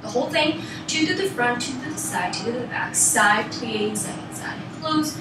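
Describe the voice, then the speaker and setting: A woman's voice: fairly high pitch, moderate pace, background noise. A woman teaching a ballet class, giving encouraging explanations and short rhythmic cues and counts. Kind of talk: instructional dance class, calling counts and cues. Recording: very echoey room, distant mic, loud music. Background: loud music.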